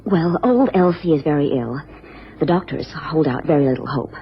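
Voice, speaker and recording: A woman's voice: low voice, lively intonation, moderate pace. A woman playing an anxious, genteel American wife in a radio drama, speaking formally and earnestly with careful phrasing. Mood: worried. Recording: dull, noisy old radio recording.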